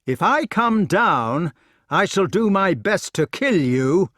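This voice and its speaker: A man's voice: high-pitched, very animated, takes it slowly, fairly bright tone, no room sound, studio quality, voice pushed up, exaggerated voice. A man voicing an earnest, courteous knight in a storybook, formal and indignant as he protests. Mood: aggrieved, resolute.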